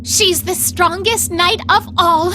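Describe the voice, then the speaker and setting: Haughty, bright-voiced young lady knight. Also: very high voice, fairly even intonation, slow pace, faint music behind, full character voice. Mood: adoring, proud. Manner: haughty, emphatic, formal diction. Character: proud, prickly young female knight, fervently devoted to her lord. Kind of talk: dramatic video game voice acting.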